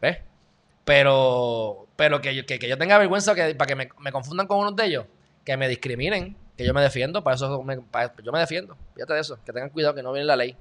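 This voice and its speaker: A man's voice: medium pitch, fast, a bit bright. A man from Puerto Rico with a Puerto Rican accent, giving loose, joking commentary on the news to his viewers. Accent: Puerto Rican Spanish. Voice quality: loose, chatty, joking asides. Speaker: video host.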